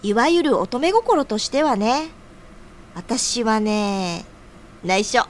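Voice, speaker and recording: An actress playing a sisterly, teasing, encouraging friend with feminine phrasing in an audio drama. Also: female voice, fairly high pitch, lively intonation, takes it slowly, slight background noise. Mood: playful.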